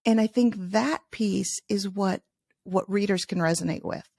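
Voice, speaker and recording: A woman's voice: medium pitch, unhurried, creaky, darkish tone, no echo. A middle-aged woman from Chicago, a native Spanish speaker with no accent, chatting in a podcast interview with many restarts. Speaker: podcast host and author, interviewed as guest.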